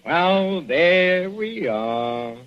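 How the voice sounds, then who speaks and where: An actor playing a sly, menacing professor with a halting, falsely courteous manner in a 1940s radio drama. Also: male voice, fairly high pitch, heavily acted. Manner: halting, hesitant, repeating words, falsely courteous. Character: sly, menacing husband, a professor feigning death by poison. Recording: dull, noisy 1940s radio recording.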